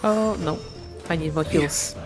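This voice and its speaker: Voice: mid-range voice, unhurried. Casual game streamer over music. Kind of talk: casual live gameplay reactions.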